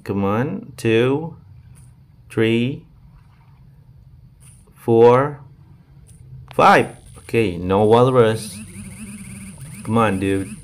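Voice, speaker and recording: Slow-paced Indonesian-accented man, gaming commentary. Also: mid-range voice. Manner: informal, addresses viewers as "guys". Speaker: gaming video creator. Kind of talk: casual gameplay commentary, mixing two languages. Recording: voice over background game music. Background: music.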